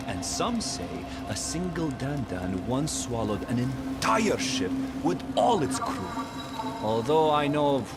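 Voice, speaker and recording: An actor playing a man in a video game who boasts of a giant sea fish in an animated way. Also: mid-range voice. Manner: animated storytelling, dropping to a whisper. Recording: game audio with loud music.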